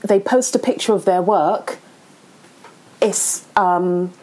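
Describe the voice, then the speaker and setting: A woman's voice: medium pitch, slow, mellow, rough recording. A woman hosting an interview, speaking in a reflective, earnest and encouraging way. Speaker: podcast host. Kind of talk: casual interview conversation.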